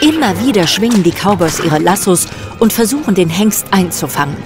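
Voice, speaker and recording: A woman's voice: fairly low pitch, expressive, brisk, music in the background. A woman narrating a scripted children's audio drama as its storyteller.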